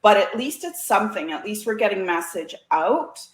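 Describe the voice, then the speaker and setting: A woman's voice: medium pitch, lively intonation, normal speed, roomy sound. A woman with a Canadian accent, chatting informally as a guest in a casual conversation. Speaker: guest, CB radio community organiser. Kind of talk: casual chat.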